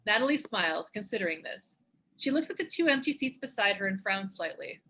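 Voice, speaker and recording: A woman reads stage directions as the narrator of a script. Her voice is bright and forceful at a middling pitch, in a scripted narration style. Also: big pitch swings, a little fast, slightly rough.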